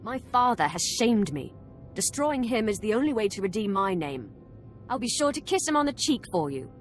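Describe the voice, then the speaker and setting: A woman's voice: medium pitch, very animated, normal speed, soft background music, lightly acted. An actress playing a proud, vengeful young Sith woman in a video game cutscene, haughty and cutting. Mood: cold resolve.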